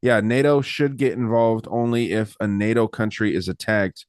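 A man's voice: fairly low pitch, steady tone, normal speed, no room sound, clean studio sound. Easygoing male podcast host, hesitant. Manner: hesitant, many fillers, easygoing. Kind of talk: casual chat.

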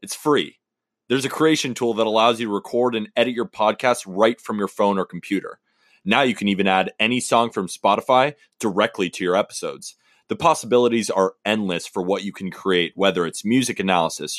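A man co-hosting a baseball podcast, talking in an upbeat, energetic, positive way. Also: fairly low pitch, a little fast, no room sound, clean studio sound. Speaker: podcast co-host. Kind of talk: casual sports podcast chat.

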